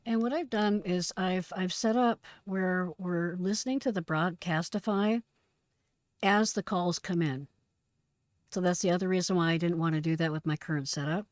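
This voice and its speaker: Woman, lower register, dry close sound, conversational explainer walking listeners through procedures. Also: moderate pace, no echo. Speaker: retired dispatcher presenting radio traffic. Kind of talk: casual explanatory commentary.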